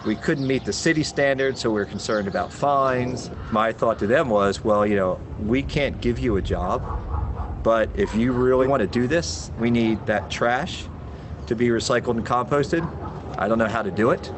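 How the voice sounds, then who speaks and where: A man in a documentary interview explains a problem and his offer in a measured, explanatory way. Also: mid-range voice. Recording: background noise.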